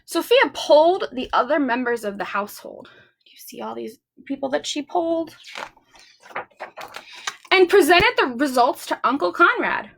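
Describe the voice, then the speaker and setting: A woman's voice: sits high, animated, normal speed, gravelly, a bit bright, slight background noise. A woman hosting a children's storytime, reading aloud expressively and speaking simply to young listeners. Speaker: library storytime host. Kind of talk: children's picture-book read-aloud.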